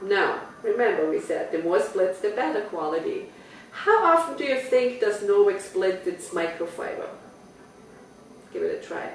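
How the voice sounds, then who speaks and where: A woman's voice: medium pitch, expressive, normal speed, heavy reverb, far from the mic, a little hiss or hum, poor audio. A woman from Austria with an Austrian German accent, giving a chatty product demonstration in a living room and asking her listeners questions. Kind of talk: home party product talk. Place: living room of a private house.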